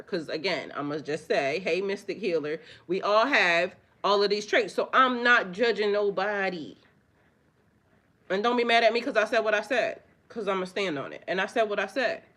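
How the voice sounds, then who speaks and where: A woman's voice: medium pitch, moderate pace. A woman hosting a spiritual reading vlog, talking straight to her viewers in a blunt, profane, chatty way and laughing often. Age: adult with children. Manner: loud, animated delivery. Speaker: spiritual reader and channel host.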